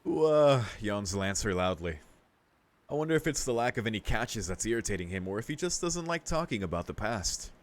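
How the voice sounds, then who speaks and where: A man's voice: lowish voice, very animated, fairly quick. A man reading a visual novel aloud in a casual let's-play style, mixing narration with his own commentary.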